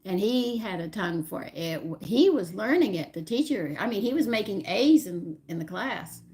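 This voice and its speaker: Gravelly-voiced woman thinking aloud. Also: mid-range voice, expressive, moderate pace, a little mellow. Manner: rambling, thinks aloud while searching her memory. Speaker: home cooking channel host. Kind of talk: casual live-stream chat answering viewers.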